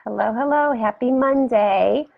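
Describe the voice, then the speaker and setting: Woman with a scratchy, gravelly voice. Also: fairly high pitch, unhurried, no room sound, narrow, tinny audio. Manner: chatty, rambling, greets viewers by name. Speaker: host. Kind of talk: casual live-stream chat. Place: home room beside a turtle aquarium. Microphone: webcam for a live video.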